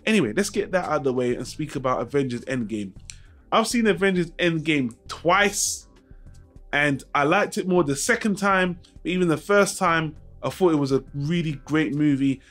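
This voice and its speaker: Higher-pitched man vlogging over music. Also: animated, normal speed, fairly bright tone. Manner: informal, mildly profane, frank. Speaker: YouTube channel host. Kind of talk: casual film review vlog, talking to viewers.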